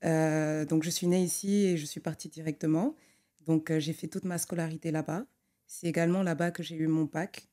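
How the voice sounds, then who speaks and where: A young adult woman who grew up in Togo, an architect talking through her career as a podcast guest, thoughtful and full of pauses and restarts. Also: lowish voice, mellow. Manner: thoughtful, many fillers and restarts. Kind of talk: conversational interview.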